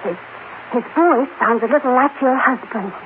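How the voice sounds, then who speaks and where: A woman's voice: high-pitched, moderate pace, close to an everyday voice. An actress playing a loyal, indignant female cousin and confidante in an emotive 1940s radio drama style. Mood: puzzled. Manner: emotive, theatrical. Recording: scratchy, tinny old radio broadcast.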